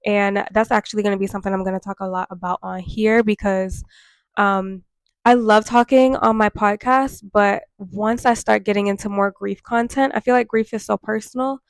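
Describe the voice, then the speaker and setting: A woman's voice: medium pitch, steady tone, moderate pace, no echo. A woman in her twenties, nasal and tired from a cold, chatting earnestly to camera in a casual vlog with many fillers. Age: 20s. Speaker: vlogger. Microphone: microphone.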